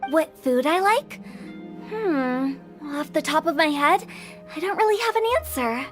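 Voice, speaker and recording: A woman's voice: sits high, expressive, a little slow, faint music behind. A woman voicing a playful, mischievous young woman who teases and lectures with flair. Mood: teasing. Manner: teasing, sing-song, lecturing with flair.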